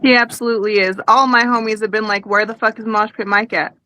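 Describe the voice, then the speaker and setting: A woman's voice: fairly high pitch, steady tone, fast, pushed and bright, rough recording. A woman guesting on a podcast, chatting casually in a slangy, sweary way. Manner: slangy, sweary, says "like" often. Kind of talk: casual chat.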